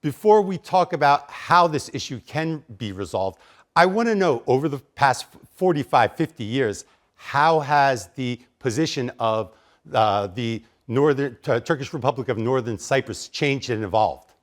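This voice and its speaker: A man's voice: mid-range voice, animated, normal speed. Man in his forties hosting interview. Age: 40s. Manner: direct, pressing questions. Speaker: news show host. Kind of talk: news interview.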